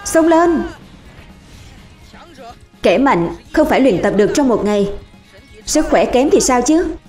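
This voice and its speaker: A fiery young male cartoon warrior, voiced by a woman, pitched fairly high. He exhorts his recruits with rousing, encouraging drive over background music. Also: expressive, a little slow, darkish tone. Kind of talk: animated series dubbing.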